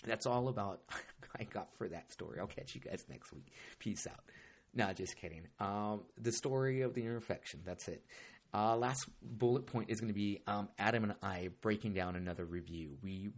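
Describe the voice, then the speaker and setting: A man hosting a casual solo podcast, hoarse and talking in a rambling, heavily profane way with frequent restarts. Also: on the low side, moderate pace. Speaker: podcast host.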